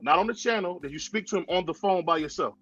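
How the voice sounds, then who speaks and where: A man's voice: sits high, fast talker, a bit bright, breathy edge, no room sound, muffled recording. A man hosting a heated live-stream boxing debate, constantly cutting in with repeated interruptions. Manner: keeps cutting in with repeated "hold on". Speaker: show host.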